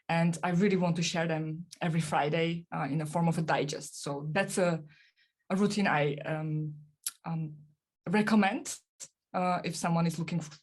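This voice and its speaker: Soft-spoken woman, halting speech. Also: lowish voice. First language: not German. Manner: hesitant, many fillers and restarts. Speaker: podcast guest. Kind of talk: casual interview answers.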